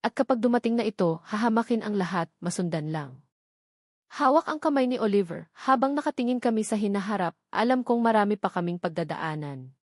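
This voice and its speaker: Woman, first-person romance narrator, soft heartfelt delivery, medium pitch, dry close recording. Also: expressive, fairly quick, no room sound. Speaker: story narrator.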